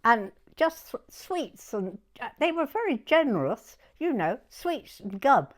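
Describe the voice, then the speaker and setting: A woman in her nineties with a southern English accent, reminiscing informally in an interview in a chatty, halting way with plenty of "you know". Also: on the high side, sing-song. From Dorset, England. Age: 90s. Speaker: oral history interviewee.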